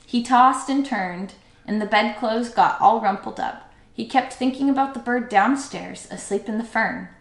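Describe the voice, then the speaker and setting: A woman's voice: on the high side, moderate pace, vocal fry, reverberant. A woman teacher reading a children's story aloud as narrator.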